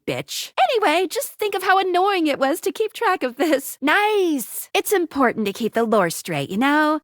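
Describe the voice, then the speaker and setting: Casting: bubbly, cheeky female helper for a dating sim game; high-pitched, very animated delivery. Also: dry recording, studio quality. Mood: cheeky, playful. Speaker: video game voice actor.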